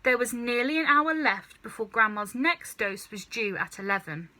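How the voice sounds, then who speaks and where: A woman reading a children's story aloud in an expressive, dramatic, emphatic way. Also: a little high, normal speed. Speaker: storybook reader.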